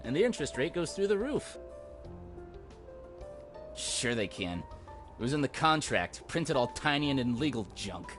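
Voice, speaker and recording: A man's voice: mid-range voice, lightly acted. An actor playing an earnest, guilt-ridden young man in a mystery visual novel, speaking casually and emotionally. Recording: game dialogue under loud music.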